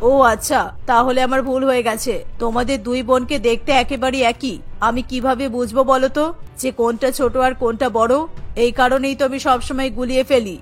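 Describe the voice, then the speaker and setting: A woman puts on a confused older mother-in-law for a cartoon. She is a questioning household matriarch, pitched well down from the performer's own voice, with music underneath. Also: fairly even intonation, moderate pace. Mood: puzzled. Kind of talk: Bengali cartoon character voice.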